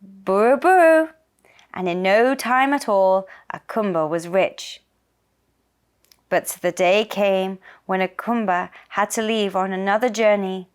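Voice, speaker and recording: A woman narrating a children's folktale for a puppet show in a simple, deliberate storytelling manner. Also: mid-range voice, smooth.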